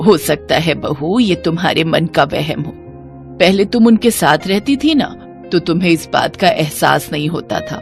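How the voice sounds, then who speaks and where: A woman playing an older, caring mother-in-law in a cartoon family drama, advising in a measured manner. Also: low-pitched, lively intonation, creaky, faint music behind. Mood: reassuring.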